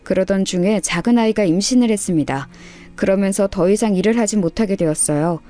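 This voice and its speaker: A woman's voice: mid-range voice, fairly quick, warm tone, soft background music. A woman reading a scripted first-person life story in a radio story style. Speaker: storyteller narrator.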